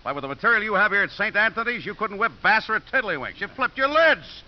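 Cynical American coach, wisecracking, blunt, lively pitch swings, hissy muffled radio drama. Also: male voice, fast. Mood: exasperated. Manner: slangy, blunt, wry. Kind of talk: 1950s radio drama acting.

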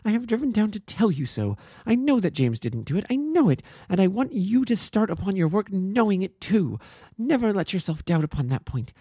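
An earnest, impassioned young woman pleads her case in a high, insistent voice, as a male narrator puts her on. The voice has a mellow tone and is recorded dry and close. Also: big pitch swings, a little fast, slightly rough, no room sound, exaggerated voice. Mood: pleading. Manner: breathless and insistent, rising high. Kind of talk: audiobook character voice.

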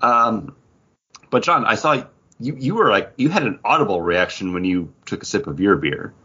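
Deep husky man, lively chatty co-host. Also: normal speed. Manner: chatty, rambling, lots of "like" fillers. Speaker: podcast co-host. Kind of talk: casual chat about comics and beer. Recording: dull lo-fi sound.

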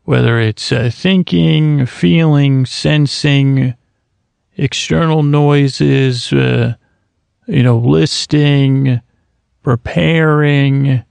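A man in his thirties hosting a sleep podcast in a slow, meandering bedtime monologue, halting often with restarts and fillers. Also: mid-range voice, vocal fry, darkish tone, clear, resonant tone, no room sound. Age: 30s.

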